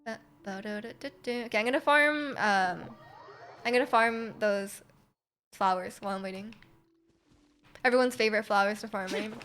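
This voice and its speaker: A woman's voice: a little high, a bit bright. A woman chatting casually with viewers while she plays a game on a live stream, rambling and repeating herself in a giggly, hesitant way. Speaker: game livestreamer. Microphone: close streaming microphone.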